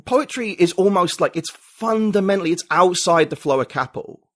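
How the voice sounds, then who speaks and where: A man's voice: high voice, lively intonation, a little fast, fairly bright tone, no echo. A man, a writer and podcaster, talking as an interview guest, reflective and thinking aloud with many fillers. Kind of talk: casual interview conversation.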